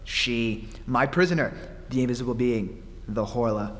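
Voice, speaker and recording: A man's voice: mid-range voice, moderate pace, natural voice. A man acting a melodramatic haunted fugitive in a hall. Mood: feverish awe. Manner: melodramatic, stammering. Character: haunted, desperate fugitive man fearing an invisible being. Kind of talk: old-time radio horror acting on stage. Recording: hollow hall recording with hiss.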